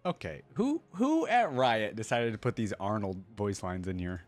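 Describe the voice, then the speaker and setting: Guy reacting casually to stuff, lowish voice, repeats himself, bit of music behind. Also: big pitch swings, moderate pace. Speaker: reaction video host. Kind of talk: casual off-the-cuff reaction commentary.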